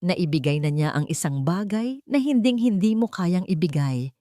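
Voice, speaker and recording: A woman narrating an audio drama in a steady, dramatic storytelling manner. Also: on the low side, normal speed, dry recording, studio quality.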